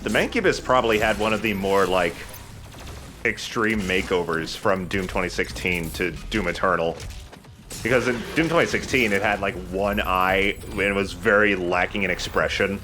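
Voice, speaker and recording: A man's voice: low-pitched, moderate pace. A man giving casual, jokey let's play commentary over a video game, chatting and swearing freely. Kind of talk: casual gameplay commentary with banter. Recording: music behind the voice. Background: game music.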